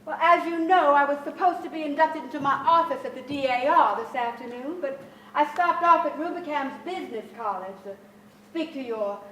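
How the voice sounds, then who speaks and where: A woman's voice: sits high, normal speed, sharp tone, echoey room, distant mic, slight background noise, rough recording. An actress playing an anxious, overbearing middle-aged mother in a staged drama, theatrical, fretful and emphatic. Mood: wounded, accusing. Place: large theatre stage with audience, indoors.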